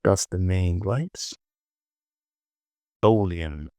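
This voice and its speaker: A man presenting a casual software tutorial, informally talking himself through the work and often repeating words, close to the mic. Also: sits low, extremely slow, dry recording.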